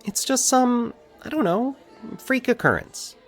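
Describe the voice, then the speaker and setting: A man voicing a sympathetic young woman, a reassuring, gently teasing friend in a fiction podcast story. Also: extremely high pitch, lively intonation, unhurried, faint music behind, pitched above the performer's own voice, exaggerated voice. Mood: reassuring, hesitant. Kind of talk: fiction podcast dialogue within narration.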